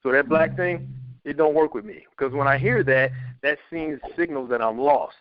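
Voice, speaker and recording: A man's voice: on the high side, moderate pace, no room sound. A man in a casual group discussion, emphatic and repeating his points. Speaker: podcast panelist. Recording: dull dry lo-fi audio.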